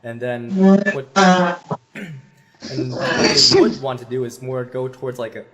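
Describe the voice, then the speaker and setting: A man, a voice teacher on a video call through a headset, explaining singing technique with many fillers and pauses. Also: mid-range voice, animated, crowd noise. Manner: thoughtful, hesitant with many fillers, demonstrates sung vowels.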